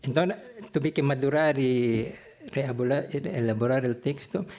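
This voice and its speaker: Older man, slow and halting delivery. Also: medium pitch, a little mellow. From Philippines. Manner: halting, hesitant delivery with frequent long pauses. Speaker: theology professor. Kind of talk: prepared anecdotal talk recalling a career.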